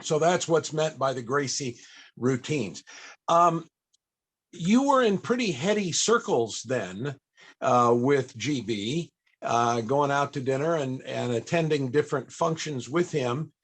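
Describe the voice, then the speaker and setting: A man's voice: medium pitch, expressive, measured pace, slightly rough. A man hosting a casual panel interview over a video call, feeling his way through meandering questions.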